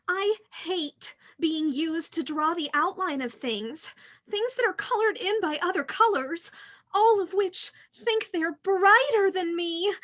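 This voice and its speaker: Lady doing a sulky crayon, really high bright voice, aggrieved, storybook comedy. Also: heavily acted.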